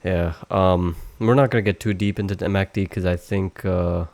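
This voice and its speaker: A young man with a low voice talks through charts on a live stream. His delivery is monotone and halting, full of restarts and fillers, in a casual lesson style. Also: vocal fry. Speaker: trading stream host.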